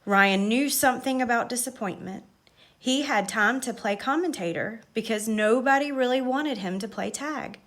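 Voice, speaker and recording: A woman reading a children's story aloud with expressive, measured, dramatic narration. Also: on the high side.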